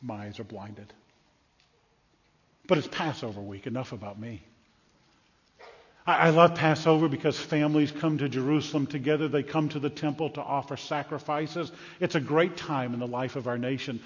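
A man playing an excitable blind beggar of ancient Jerusalem in a dramatic first-person monologue, halting and repeating himself, swinging between hushed and loud. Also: mid-range voice, slightly rough, natural voice. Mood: earnest, warm excitement. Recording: echoey large hall, slightly muffled.

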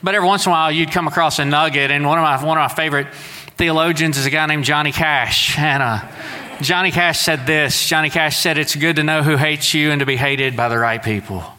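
A man from Southeast Texas in his forties preaches to his congregation, and he talks in a folksy, conversational way with casual asides. His voice sits a little high and sounds bright. It rings out with the long reverb of a church sanctuary. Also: brisk. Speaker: pastor. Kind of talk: sermon.

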